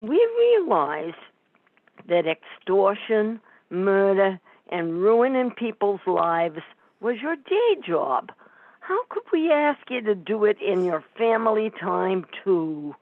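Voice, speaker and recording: A woman's voice: medium pitch, big pitch swings, takes it slowly, no room sound. Woman playing brassy mob wife, tinny phone-like sound. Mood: conciliatory. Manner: sarcastic, nagging, slangy. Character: brassy, scolding middle-aged mobster's wife and mother. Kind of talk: amateur comic radio play.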